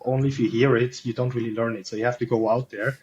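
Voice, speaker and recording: A man's voice: medium pitch, little pitch movement, quick pace, darkish tone, lo-fi sound, slight background noise. A man from Switzerland with a Swiss German accent, a podcast guest chatting casually and rambling with lots of fillers. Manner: rambling, many "like" and "you know" fillers. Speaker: podcast guest, SEO freelancer.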